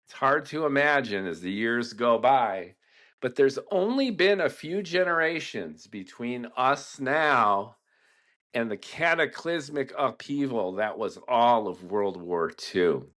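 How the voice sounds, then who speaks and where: A middle-aged man telling a personal family story, slow and deliberate, pausing between phrases. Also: medium pitch, pushed and bright. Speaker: podcast host and storyteller. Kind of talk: reflective first-person storytelling.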